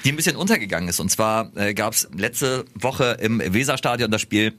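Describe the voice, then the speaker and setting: A man co-hosting a casual podcast, telling an anecdote with animation and frequent laughter. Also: lowish voice. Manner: fast, animated storytelling, laughing often. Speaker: radio podcast co-host. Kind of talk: casual chat.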